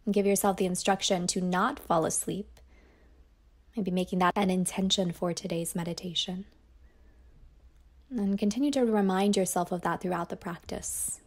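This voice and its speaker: Soft, flat-toned woman guiding meditation. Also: lowish voice. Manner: slow, soft-spoken and soothing, often trailing into a whisper. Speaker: yoga nidra teacher. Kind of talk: guided meditation.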